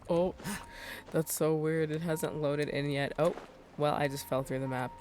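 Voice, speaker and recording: A woman's voice: low-pitched, fairly even intonation, a little slow, noisy background. A woman livestreaming a video game, chatting casually and reacting aloud as she plays. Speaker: game streamer. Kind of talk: casual livestream gameplay chat.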